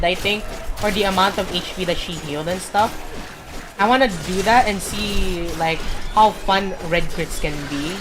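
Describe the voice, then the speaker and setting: Brief: woman game streamer, lowish voice, chatty, keeps losing her words, noisy mic. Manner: rambling, filler-heavy, searches for words. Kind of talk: gameplay commentary.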